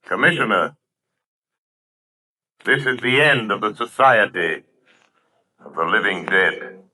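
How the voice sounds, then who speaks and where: An actor playing a mysterious, commanding crime-fighting avenger in an intense, emphatic 1930s radio drama style. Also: male voice, on the low side, slow pace, sharp tone, heavily acted. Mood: solemn. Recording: vintage 1930s radio broadcast.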